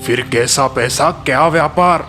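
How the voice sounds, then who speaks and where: A man plays an old holy man of the forest in a moral tale. He admonishes gravely, in a solemn and preachy manner. Music runs clearly behind the voice. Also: a little high, bright, forceful tone. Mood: stern, admonishing. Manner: solemn, preachy, sometimes slow and verse-like.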